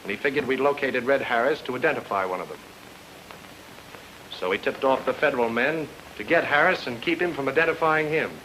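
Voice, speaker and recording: A man's voice: mid-range voice, brisk, bright, forceful tone, close to an everyday voice. A man playing a hard-boiled, sardonic private detective in an old-time radio noir, with a wry, clipped way of explaining things. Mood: confident explaining. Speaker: actor in a radio detective drama. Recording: noisy old radio recording.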